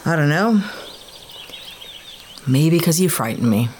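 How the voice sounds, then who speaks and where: A woman's voice: low-pitched, expressive, takes it slowly, darkish tone, slight background noise. A woman playing an irritable, sarcastic, distrustful spaceship owner in a sci-fi audio drama, with a blunt, snappish way of talking. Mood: guarded, irritated.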